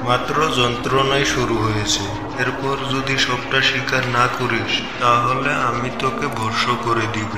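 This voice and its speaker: A man's voice: medium pitch, steady tone, normal speed, close to an everyday voice. An actor playing a stern, commanding holy man who banishes spirits, in a declamatory cartoon folk-tale style. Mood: stern, threatening. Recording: echoey, loud music underneath.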